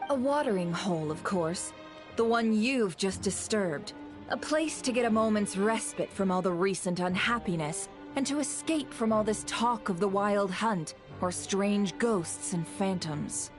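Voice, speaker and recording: A woman's voice: mid-range voice, animated, a little slow, natural voice. A woman playing a haughty, imperious lady over music. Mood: scornful. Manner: theatrical, scornful, formal. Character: haughty, cutting lady guest, witty and imperious. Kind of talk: anime game voice acting.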